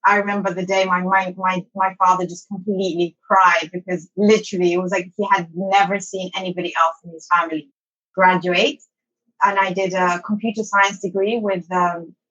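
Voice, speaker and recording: A woman's voice: mid-range voice, steady tone, normal speed, a bit bright, lo-fi sound. A woman from Somalia, an English teacher, telling her life story as an interview guest, hesitant with many ums and restarts.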